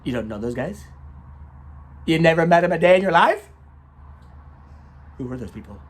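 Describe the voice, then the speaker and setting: A man reading a short story as its storyteller, in a theatrical, rhythmic manner with drawn-out emphasis and rhyming phrases. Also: fairly high pitch, animated. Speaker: storyteller, author reading own work.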